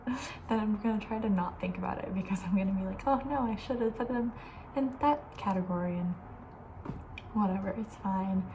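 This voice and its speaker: Soft spoken asmr girl, woman chatting casually, kinda breathy and rambly, bit of background hiss. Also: medium pitch, fairly quick, rough recording. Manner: rambling, conversational, playfully opinionated. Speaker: ASMR video host.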